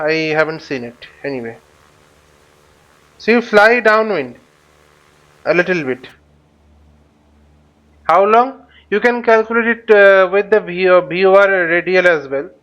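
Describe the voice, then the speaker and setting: A man giving an unscripted flight simulator tutorial, thinking aloud haltingly and often muttering to himself. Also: high voice, fairly bright tone, muffled recording. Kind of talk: unscripted tutorial commentary while flying a simulator.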